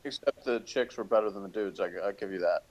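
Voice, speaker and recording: A man's voice: lowish voice, fast talker, a crowd in the background. A man co-hosting a review show in casual chat, with a flippant, joking way of talking.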